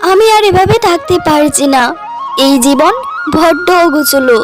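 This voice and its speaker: A woman's voice: high voice, expressive, normal speed, music in the background. A woman voicing a jealous, scheming young daughter-in-law, complaining dramatically in a cartoon. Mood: resentful. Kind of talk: cartoon dialogue.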